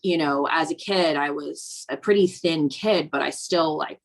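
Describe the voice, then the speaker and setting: A woman's voice: sits low, measured pace, vocal fry. A young woman from Virginia, speaking reflectively in a documentary interview, with frequent "um" and "you know". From Virginia, USA. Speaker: snowboarder interviewee.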